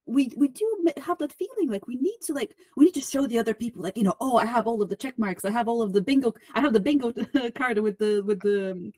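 A Bulgarian-born woman talks through ideas as a podcast guest, chatty and self-deprecating, looping back on herself with fillers. Her voice is mellow and relaxed, recorded close and dry. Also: fairly high pitch, fast talker, few pauses, slightly rough, no echo. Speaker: podcast guest, solutions engineer. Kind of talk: conversational interview.

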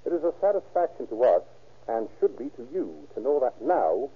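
A man's voice: mid-range voice, very animated, normal speed. A man giving a formal, emphatic scripted address as a police captain on 1930s radio. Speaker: police captain introducing a crime story. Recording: muffled, husky-sounding 1930s radio recording.

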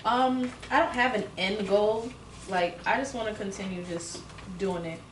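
A young woman chatting casually on a podcast, telling animated stories, laughing often and swearing freely. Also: mid-range voice, a little slow. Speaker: podcast guest. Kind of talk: casual chat. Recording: roomy, distant mic, faint hiss.

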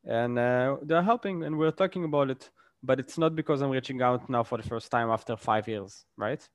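A man's voice: medium pitch, moderate pace, no echo. A man, a guest in a casual interview, explains his points with examples and often checks in with "right?".

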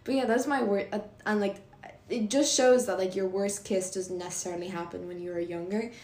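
A woman's voice: medium pitch, brisk. Chatty young woman in a roomy room. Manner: animated storyteller, many fillers. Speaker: podcast co-host. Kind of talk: casual chat. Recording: roomy echo.

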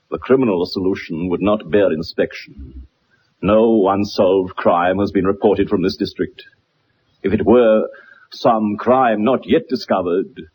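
Gentleman detective, animated and precise. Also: mid-range voice, breathy edge, low-quality recording. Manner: precise, lecturing, formal. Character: brilliant, self-assured gentleman detective, methodical and eloquent. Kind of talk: radio drama acting.

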